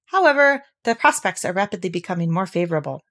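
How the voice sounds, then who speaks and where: A woman voicing a pompous, grave male owl in a children's storybook, in a measured and formal manner. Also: fairly low pitch, sing-song, a bit bright.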